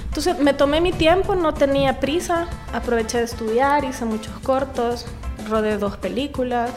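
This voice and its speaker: A talkative Salvadoran woman in her forties, a singer-songwriter guest recounting things in a relaxed, laughing way. Her voice sits a little high for a woman, and music plays under the talk. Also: normal speed. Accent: Salvadoran Spanish. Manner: chatty, laughs often, restarts words. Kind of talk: casual interview chat.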